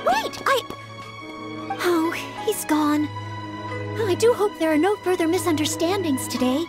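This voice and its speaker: A woman voicing a gentle, earnest young maid in a video game, speaking politely and sincerely. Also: very high voice. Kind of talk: video game character dialogue.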